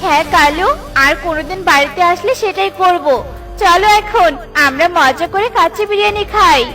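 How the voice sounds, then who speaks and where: A woman plays a vain, lazy crow wife from a children's cartoon. Her voice is extremely high and bossy, full of scorn. Music plays clearly behind her. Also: normal speed. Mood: demanding, pleased. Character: haughty, lazy, boastful female crow wife. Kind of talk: cartoon voice acting.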